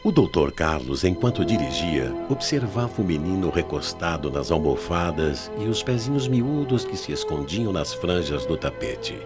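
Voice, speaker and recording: Very deep, theatrical Brazilian male narrator. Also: very animated. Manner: solemn, theatrical, deliberate phrasing. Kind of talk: dramatic scripted radio mystery narration. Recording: old AM radio tape, music underneath.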